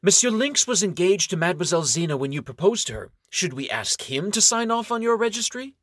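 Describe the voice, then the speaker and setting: A man's voice: sits high, very animated, a little fast, a bit bright, no echo, clean studio sound, voice pushed up, exaggerated voice. A man doing a fierce young elf woman. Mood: angry. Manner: snappish, threatening. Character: angry young female elf, commanding and sharp.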